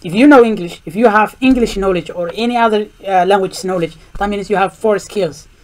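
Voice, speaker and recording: A man's voice: high voice, fairly quick, rough recording. A young man, a native Somali speaker, explaining language skills in a casual outdoor vlog, talking outdoors into a phone camera. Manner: explanatory, lecturing, repeats points. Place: outdoors in a park.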